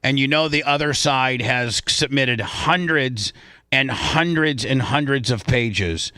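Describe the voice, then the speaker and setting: Bright, forceful male talk radio host. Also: medium pitch, slow, clear, resonant tone, no room sound. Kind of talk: casual talk radio discussion.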